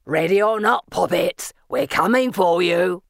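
A woman's voice: on the low side, a little slow, gravelly, no echo, studio quality. Woman voicing a taunting, sing-song warrior villain. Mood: gleeful menace.

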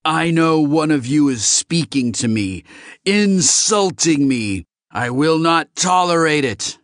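A man's voice: fairly high pitch, expressive, slow, dry recording, clean studio sound, voice pushed up. A man voicing a large, hot-tempered lizard-like alien delegate in an audiobook, bellowing and snarling his demands. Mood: snarling rage.

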